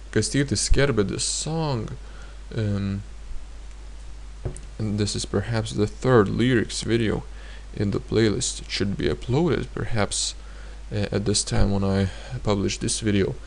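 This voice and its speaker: A man teaching a grammar lesson in a hesitant way, pausing often and repeating each example sentence. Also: fairly low pitch, creaky, a little hiss or hum. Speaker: language teacher.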